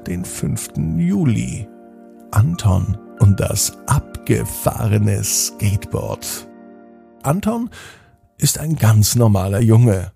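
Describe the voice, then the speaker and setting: A man reads a bedtime story to children in a gentle, unhurried way. His voice is gravelly and dark, with a slightly husky edge. Faint music plays underneath. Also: mid-range voice. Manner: gentle, slow storytelling addressed to kids. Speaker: podcast host and storyteller.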